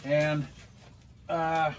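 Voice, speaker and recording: A man's voice: a little high, monotone, very slow, a little hiss or hum. Rambling older American man, casual and folksy. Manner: rambling, folksy, self-deprecating. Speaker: hobbyist video host. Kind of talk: casual unboxing chat.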